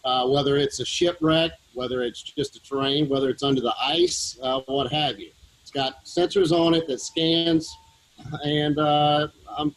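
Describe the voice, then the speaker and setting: A man with a Southern US accent, a team leader explaining his work to schoolchildren in a relaxed way with many fillers, aboard a ship. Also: fairly high pitch, low-quality recording. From Louisiana, USA. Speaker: underwater vehicle team leader. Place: operations room on a ship.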